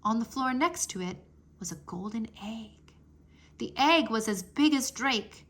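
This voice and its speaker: A woman's voice: fairly high pitch, expressive, a little slow. Woman reading a children's storybook aloud. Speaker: read-aloud narrator.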